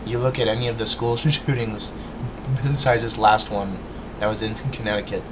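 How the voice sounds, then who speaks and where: A man's voice: lowish voice, steady tone, normal speed, noisy background. A man ranting to his viewers in a casual, earnest way, with a stutter and many restarts and fillers. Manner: earnest, lecturing, addresses viewers directly. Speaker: vlogger. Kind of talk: casual rant to camera.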